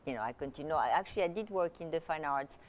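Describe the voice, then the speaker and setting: A woman's voice: low-pitched, expressive, fast. A woman who grew up in Italy, a guest in an interview, talking through her career in a chatty way with many fillers and restarts. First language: Italian. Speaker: guest, luxury consultant and author. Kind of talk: conversational interview.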